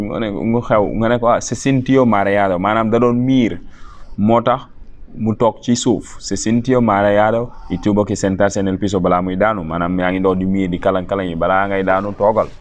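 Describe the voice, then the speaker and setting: A man's voice: lowish voice, fairly even intonation, normal speed. A man from Senegal with a Senegalese accent, a native Wolof speaker, giving an informal language lesson, repeating phrases and explaining them as he switches between languages. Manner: repetitive, mixes languages while explaining. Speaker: language teacher.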